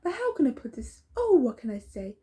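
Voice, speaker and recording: Woman acting a pompous, higher-pitched storybook mayor. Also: very animated, a little fast, dark tone. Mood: feigned sympathy. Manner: formal, pompous. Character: pompous, evasive town mayor, politely sympathetic.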